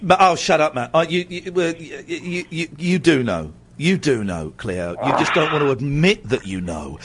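A man's voice: a little high, lively intonation, moderate pace, a bit bright, a little hiss or hum. A middle-aged man hosting a radio show, chatting with a caller in a rambling, self-mocking way with lots of restarts. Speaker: radio host. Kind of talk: casual radio chat with callers.

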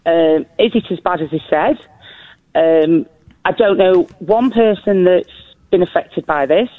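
Woman, deep crackly voice, hesitant and apologetic, thin tinny phone line, dry. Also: unhurried, dry recording. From northern England. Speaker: caller. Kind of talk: phone-in call to a radio show.

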